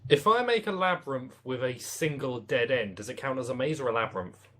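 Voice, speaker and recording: A man's voice: medium pitch, animated, brisk, fairly bright tone. A sarcastic, swearing man making cracks while watching a movie with friends over a voice call. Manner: sarcastic, profane. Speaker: co-host watching a film. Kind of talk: casual group commentary over a movie.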